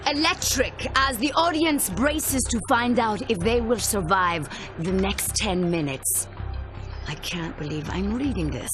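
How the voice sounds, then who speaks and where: A woman's voice: medium pitch, a little slow, lightly acted. A woman voicing a sarcastic, short-tempered TV anchor in a video game, with an announcer's delivery over music. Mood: dry, fake-cheerful. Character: sardonic, short-tempered female TV news anchor. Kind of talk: cartoonish video game acting. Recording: music under the voice.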